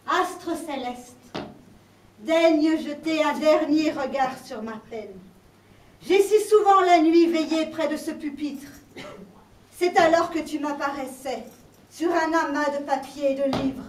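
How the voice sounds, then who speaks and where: A woman performing a theatrical monologue as a despairing, world-weary scholar, solemn and declamatory. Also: extremely high pitch. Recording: echoey room, distant mic, faint noise.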